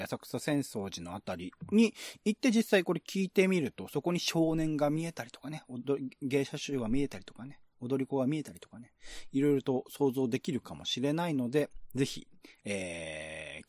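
A man's voice: medium pitch, a little mellow, no room sound, clean studio sound. A man hosting a podcast, explaining art and literature in an enthusiastic, informal, conversational way. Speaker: podcast host. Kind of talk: casual discussion of literature and art.

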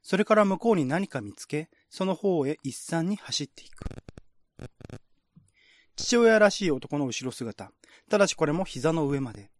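A man's voice: fairly high pitch, animated, moderate pace, no echo. A man who works as a radio drama host and narrator, presenting and reading scripts.

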